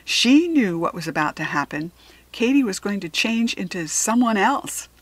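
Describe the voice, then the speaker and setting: An American woman narrating a children's story expressively, with deliberate dramatic emphasis on key words. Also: medium pitch, lively intonation, no room sound. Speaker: storybook reader.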